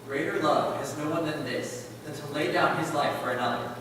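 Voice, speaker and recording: A man's voice: fairly high pitch, moderate pace, a bit bright, lightly acted. A man playing a missionary's earnest son, narrating solemnly in a scripted readers' theater recital. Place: large indoor recital hall, distant microphone. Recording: very echoey hall, distant, faint noise.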